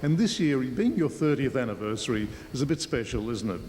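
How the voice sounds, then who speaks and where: A man's voice: medium pitch, expressive, fairly quick, a little mellow. A man with an Australian accent, working as a television reporter and doing an on-location interview in a large indoor space. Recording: echoey hall with clear background noise.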